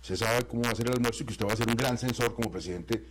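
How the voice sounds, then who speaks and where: A man interviewing a guest with quick, probing, conversational questions. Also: mid-range voice, background noise.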